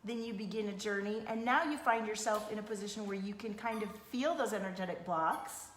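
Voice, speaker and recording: A woman's voice: medium pitch, a little fast. Woman coaching in an echoey, noisy room. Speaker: energy healer and coach interviewing a client. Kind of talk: casual coaching conversation. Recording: echoey room with background noise.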